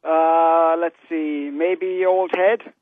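A man's voice: a little high, extremely slow, dry recording. Man on a muffled phone line. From Ireland. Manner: relaxed, explanatory, says "actually" often. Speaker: golf fitness company founder, guest. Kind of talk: interview by phone.

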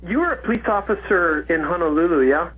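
A man's voice: high voice, expressive, fast talker, fairly bright tone, husky. A man of sixty calling in to a radio show, chatting in a rambling way and laughing often. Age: 60s. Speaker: caller. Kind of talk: casual call-in chat. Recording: muffled phone line.

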